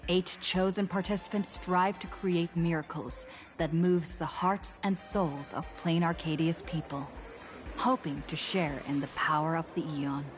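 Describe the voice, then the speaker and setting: A woman playing a storyteller who narrates an epic fantasy game saga in a formal, measured, scripted manner. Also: lowish voice, fairly even intonation, natural voice. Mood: solemn, measured. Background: game music.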